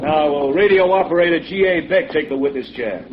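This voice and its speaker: A man's voice: fairly high pitch, brisk, close to an everyday voice. An actor playing a firm, official chairman of an inquiry board in a radio drama, formal and authoritative. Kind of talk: 1950s radio drama acting. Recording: dull, hissy vintage radio recording.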